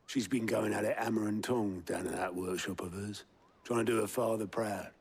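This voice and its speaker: An actor playing a gruff but caring male comrade in a video game, talking in a colloquial, wry, familiar way. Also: lowish voice, steady tone, moderate pace. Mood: earnest urging.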